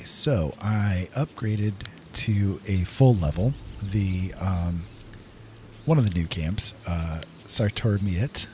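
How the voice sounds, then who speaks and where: A man's voice: low-pitched, slight background noise. A man giving casual gameplay commentary as the host of a gaming video, talking directly to viewers.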